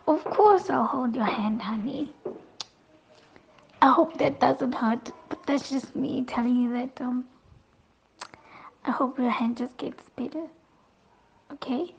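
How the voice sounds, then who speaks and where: Girl acting doting worried girlfriend in an audio drama, high voice, fussy, muffled and a bit noisy. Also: slow, creaky, long pauses, full character voice. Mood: tender, apologetic. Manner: fussing, repetitive. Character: doting, worried female partner of injured man. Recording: dull lo-fi recording, faint noise, clicks.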